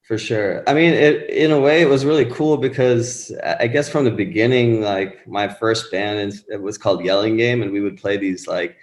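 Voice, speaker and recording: A man in his thirties with a very low voice talks loosely, drifting through fillers and restarts. The sound is roomy and echoey, with the space audible around him. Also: moderate pace, few pauses. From born in Pakistan, raised in Rhode Island. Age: 30s. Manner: rambling, many fillers and restarts. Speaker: musician guest. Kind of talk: casual interview chat.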